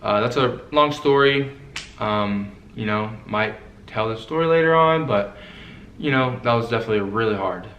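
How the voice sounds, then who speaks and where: A man's voice: on the low side, normal speed, fairly bright tone, muffled recording, slight background noise. Laid-back young man in an echoey room. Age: young adult. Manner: laid-back, easygoing, fillers like "you know". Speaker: co-host. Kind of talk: casual couple Q&A chat.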